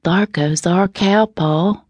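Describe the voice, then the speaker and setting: A woman voicing a seven-year-old country girl in an audiobook, with a sweet, soft Southern drawl and rustic dialect. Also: lowish voice, takes it slowly, dry recording, dull audio. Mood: urgent, innocent. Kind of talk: audiobook character reading.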